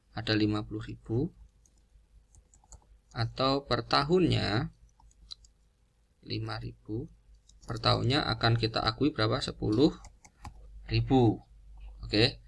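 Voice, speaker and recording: A man's voice: on the low side, creaky, fairly bright tone. A man giving an unscripted lesson, explaining step by step in a didactic way and repeating key figures. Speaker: accounting lecturer.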